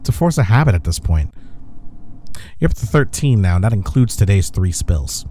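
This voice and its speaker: Guy playing smug young coworker rival in a roleplay audio, lowish voice, teasing, bit of background noise. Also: big pitch swings, moderate pace, dark tone. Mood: exasperated, teasing. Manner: teasing, playful banter. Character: teasing, smug young male office rival softening toward his coworker. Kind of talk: intimate roleplay audio acting, one-sided conversation.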